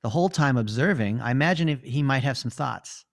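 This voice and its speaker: A man's voice: medium pitch, lively intonation, a little fast, creaky. A man hosting a group coaching call, encouraging and full of affirmations.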